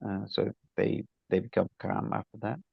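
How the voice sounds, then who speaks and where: A man from Nepal chatting with his teacher on a call, hesitant, with many fillers and soft laughs. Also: lowish voice, steady tone, moderate pace, gravelly, husky, dry recording, highs cut off. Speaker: student. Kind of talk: casual chat with teacher.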